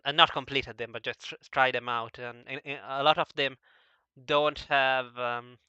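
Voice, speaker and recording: A man talking casually as an interviewer, halting and stammering over the starts of his words. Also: medium pitch, fairly even intonation, pushed and bright, clear, resonant tone, dry recording, lo-fi sound. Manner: halting, stammering, frequently repeating word starts. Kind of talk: casual discussion of visual novels.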